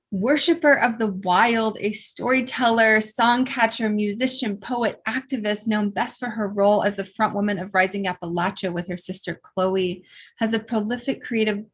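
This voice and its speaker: A woman hosting an online salon, reading a guest's introduction in a measured, listing cadence. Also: a little high, a bit bright. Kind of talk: reading an introduction on a video call. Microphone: video call.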